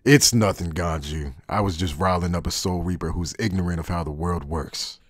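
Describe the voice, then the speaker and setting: A man playing a cynical, coolly defiant outcast in an anime fan dub, with a deep, husky, mocking delivery. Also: moderate pace, no echo, studio quality. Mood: dismissive. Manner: mocking, sardonic.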